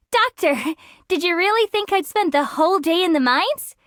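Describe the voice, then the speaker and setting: A woman voicing an excitable, adventurous young girl in an anime game. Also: extremely high pitch, full character voice.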